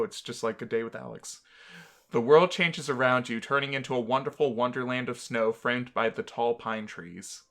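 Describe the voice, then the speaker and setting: A woman's voice: very deep voice, a bit bright. A woman hosting a game playthrough, mixing casual chat with read-aloud narration. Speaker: gaming channel host.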